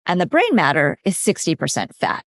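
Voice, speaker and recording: A woman with a slightly low voice talks through nutrition as a podcast guest. She explains things in a practical, step-by-step way. Her voice is recorded close and dry, with no room sound. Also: wildly swooping pitch, moderate pace, dry recording. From California, USA. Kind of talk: conversational expert explanation.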